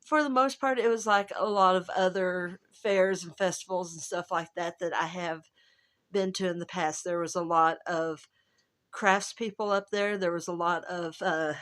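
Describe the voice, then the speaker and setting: A woman with a hoarse, gravelly voice that is still recovering, chatting casually and rambling to camera at home as a travel vlogger. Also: fairly low pitch, steady tone, normal speed, fairly bright tone. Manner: rambling, chatty, self-interrupting. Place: at home, indoors.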